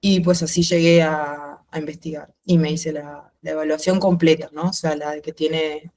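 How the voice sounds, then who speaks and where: A woman's voice: low voice, little pitch movement, normal speed, darkish tone. Woman with mixed Uruguayan-Spanish accent. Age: 30s. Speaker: podcast guest. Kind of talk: informal interview, personal account.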